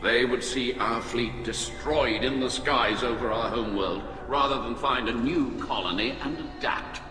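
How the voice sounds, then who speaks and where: A man playing a grave, principled and proud alien admiral in a video game, speaking in formal, measured oratory. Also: medium pitch, lightly acted. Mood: grave, earnest. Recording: long hall reverb, loud music behind. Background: loud game music.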